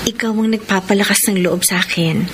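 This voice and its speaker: An actress playing a devoted, worn-down wife in a radio drama, speaking in an earnest, emotive way. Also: female voice, mid-range voice, fairly even intonation, a little fast, noisy background, close to an everyday voice. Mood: confiding, weary. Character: devoted, worn-down wife defending her friendship. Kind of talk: Tagalog radio drama acting. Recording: music bed under the voice.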